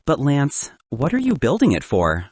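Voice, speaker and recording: A man voicing a gentle, plain-spoken middle-aged working father in an audiobook. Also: mid-range voice, wildly swooping pitch, moderate pace, husky, no echo. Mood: concerned.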